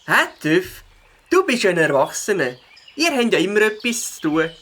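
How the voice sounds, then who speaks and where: An actor with a Swiss German accent playing a good-natured, slightly downcast young beaver in a children's audio drama, childlike and expressive. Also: male voice, high-pitched, normal speed, heavily acted. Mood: annoyed.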